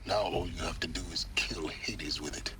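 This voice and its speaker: Deep-voiced, cunning wandering scholar. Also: male voice, fairly even intonation, heavy background noise. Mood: grave. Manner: measured, eloquent, theatrical. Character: mysterious cunning older male scholar and wanderer. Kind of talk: dramatic video game dialogue.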